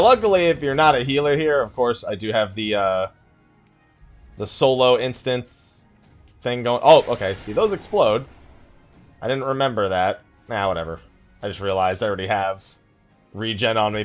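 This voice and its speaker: A man's voice: lowish voice, expressive, normal speed, faint music behind. A man giving casual let's play commentary, rambling and thinking aloud as he plays. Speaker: gaming YouTuber hosting a let's play.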